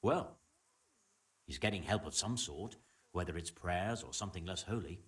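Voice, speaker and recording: A man's voice: deep voice, lively intonation, normal speed, distant mic. An actor playing a wary, grumbling middle-aged medieval tradesman in a video game, speaking in a guarded way. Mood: uneasy, suspicious. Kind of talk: video game dialogue acting.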